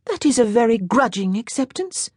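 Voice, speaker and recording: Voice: mid-range voice, very animated, moderate pace, dry recording, clean studio sound, pitched above the performer's own voice. A narrator doing a teasing young French girl. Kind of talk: audiobook character voice.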